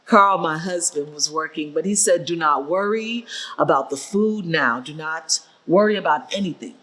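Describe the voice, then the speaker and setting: A woman's voice: low-pitched, moderate pace, a bit bright, poor audio. An actress playing a proud, determined older seamstress, telling her story plainly and emphatically in a staged reading. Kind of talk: staged reading of oral history.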